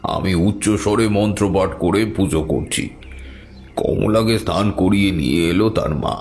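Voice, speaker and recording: A man's voice: low voice, measured pace, a little mellow, slight background noise. A man narrating a scripted tale dramatically in the first person as a storyteller. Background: music.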